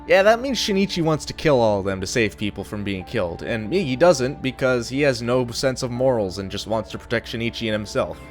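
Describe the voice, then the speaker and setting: Lively young man reviewing, sardonic. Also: mid-range voice, fairly quick, few pauses. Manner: casual, sardonic, blunt. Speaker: anime reviewer. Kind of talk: scripted video review narration. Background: faint music.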